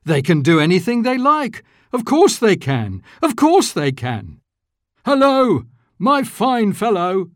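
A reader voicing a giddy old miser, raised and lively. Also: mid-range voice, slow pace, dry recording, clean studio sound, voice pushed up. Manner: excited, exclaiming.

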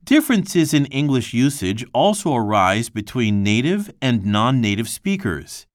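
A man reading a textbook passage aloud for a language lesson, slow and careful, with clear pauses between phrases. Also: mid-range voice, sing-song, no room sound, studio quality. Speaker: textbook reader.

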